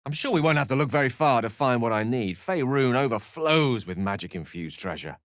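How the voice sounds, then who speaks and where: A man's voice: mid-range voice, animated, a little fast, no echo, clean studio sound, lightly acted. A male actor playing an erudite, wry wizard in a fantasy video game, articulate and theatrical, with a British accent and a streak of melancholy. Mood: curious, animated.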